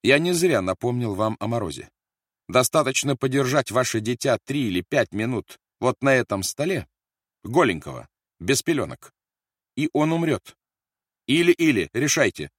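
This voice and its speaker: Overwrought Nazi interrogator played by a male reader, barking threats at raised pitch, audiobook drama. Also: expressive, moderate pace, a bit bright, breathy edge, no room sound, studio quality. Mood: cold menace. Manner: commanding, menacing, barking orders.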